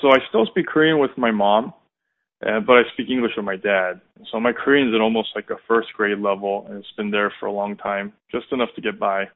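An American man in his thirties telling his personal testimony as an interview guest, in a halting, reflective, conversational way. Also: on the low side, fairly even intonation. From United States, California and Indiana. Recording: muffled, narrow phone-like line.

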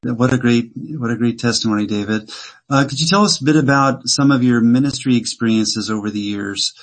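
A man hosts an interview in an encouraging, affirming way. His pitch sits in the middle range, and he asks his questions at an unhurried pace.

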